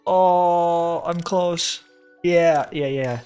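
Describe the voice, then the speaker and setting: A woman's voice: on the low side, very slow, a little mellow, smooth, faint music behind. A woman giving casual gameplay commentary, muttering to herself as she thinks things through. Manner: muttering to self while thinking. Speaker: gaming streamer.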